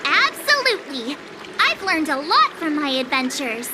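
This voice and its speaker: A woman's voice: high-pitched, measured pace, very noisy. A woman voicing a cocky, perky teenage girl learning swordplay, in an anime-style video game. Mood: playful, eager. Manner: perky, excitable, playful. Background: game music.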